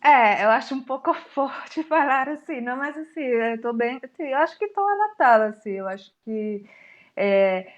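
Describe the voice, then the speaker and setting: A woman's voice: high voice, expressive. Cuban woman, hesitant, on a lo-fi call. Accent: Cuban Spanish. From Cuba. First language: Spanish. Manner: hesitant, fills pauses with "é" and tag "não?". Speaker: sound technician guest. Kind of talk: casual interview chat. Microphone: video call. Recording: dull lo-fi video call audio.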